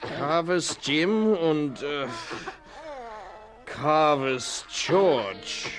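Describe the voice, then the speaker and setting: An actor playing a stern, miserly farmer in a radio drama, blunt and quick to exclaim and haggle. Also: male voice, fairly high pitch, animated. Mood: grudging.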